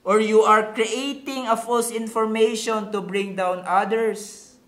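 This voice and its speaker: A man's voice: high-pitched, steady tone, takes it slowly, fairly bright tone. A man with a Filipino accent preaching a sermon in a didactic way, using rhetorical questions and repeating key words for emphasis. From Philippines. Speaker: pastor. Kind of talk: sermon mixing Tagalog and English. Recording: echoey room.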